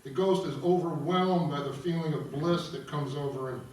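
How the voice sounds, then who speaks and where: A man's voice: a little high, moderate pace. A man reading stage directions and explaining an opera to an audience as narrator of a table read. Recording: very echoey room, distant mic, music.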